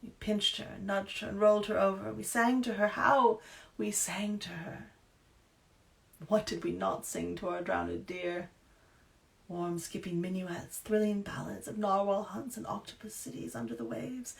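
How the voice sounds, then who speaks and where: A woman in her thirties with a low voice, an author reading her own novel aloud at home into a webcam, hushed and whispery when she reads, chatty with fillers when she talks. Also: gravelly. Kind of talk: storybook reading then casual chat. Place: dim room at home.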